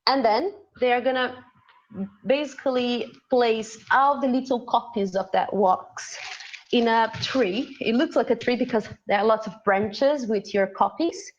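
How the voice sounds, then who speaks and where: A woman's voice: on the high side, measured pace, lo-fi sound, a little hiss or hum. Woman guest, Portuguese native, on a call. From Brazil. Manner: searching for words, many fillers. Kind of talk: casual explanation on live stream.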